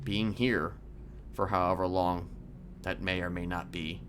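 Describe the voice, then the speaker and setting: A man in a game roleplay voicing a calm, sinister criminal manipulator who speaks haltingly and deliberately in formal words. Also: low-pitched, background noise. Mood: measured, confessional. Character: soft-spoken sinister male criminal manipulator, calmly confessing. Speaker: roleplay streamer. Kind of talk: improvised in-character game roleplay.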